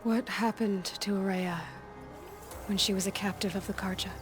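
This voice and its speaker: A woman's voice: mid-range voice, steady tone, moderate pace, close to an everyday voice. A woman acting a composed, direct young huntress chieftain in a video game, plain-spoken and probing. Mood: measured, probing. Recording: music under the voice.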